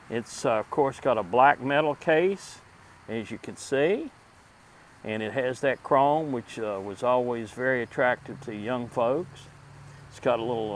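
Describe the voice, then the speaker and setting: A middle-aged man giving a casual show-and-tell to camera in a halting, deliberate way. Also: medium pitch. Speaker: host of a vintage gadget video series. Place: outdoors at a table in a wooded yard. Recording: outdoor ambient noise behind voice.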